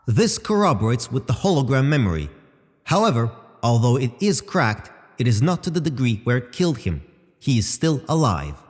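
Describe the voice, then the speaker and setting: A man's voice: mid-range voice, sing-song, natural voice. Sci-fi audio drama robot, male actor: formal, analytical and unruffled, with swooping intonation, set in long reverb. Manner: formal, measured, explanatory. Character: calm analytical male robot companion, precise and unhurried. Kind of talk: scripted audio drama acting.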